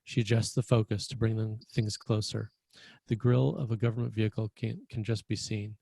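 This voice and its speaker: A man's voice: lowish voice, fairly even intonation, a little fast, creaky, dark tone, dry recording. A man reading screenplay stage directions as narrator, in a plain, descriptive manner.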